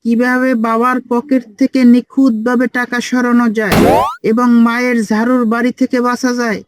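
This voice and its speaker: A woman's voice: fairly high pitch, little pitch movement, normal speed, close to an everyday voice. A woman voicing a cheeky, know-it-all five-year-old boy who lectures bossily in a comic cartoon. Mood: lecturing. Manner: bossy, lecturing.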